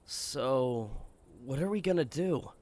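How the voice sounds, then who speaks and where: A woman playing an earnest, loyal sidekick in an audio drama, soft and casual. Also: extremely low pitch, expressive, takes it slowly, no echo, full character voice. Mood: worried. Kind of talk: post-apocalyptic audio drama acting.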